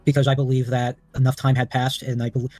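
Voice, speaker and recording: Guy on a call explaining himself, quick and formal, dry sound, faint music in the back. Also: mid-range voice, level tone, darkish tone, dry recording. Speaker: person answering questions on a call.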